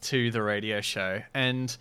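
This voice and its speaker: A man's voice: lowish voice, fairly even intonation, measured pace, sharp tone, clean tone. A man co-hosting a podcast in casual chat, talking in a hesitant, rambling, wry way. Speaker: podcast co-host.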